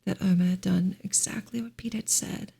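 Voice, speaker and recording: A woman reading aloud in a soft, breathy, whispery ASMR style, slow and deliberate. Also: medium pitch, fairly even intonation.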